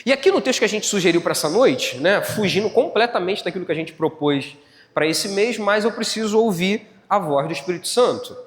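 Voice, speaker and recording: A man's voice: high voice, expressive, a little fast. Brazilian male preacher in a reverberant hall. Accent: Brazilian Portuguese. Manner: didactic, repeats phrases, puts check-in questions to the congregation. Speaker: pastor preaching. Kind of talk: church sermon with Bible exposition. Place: church hall, live service.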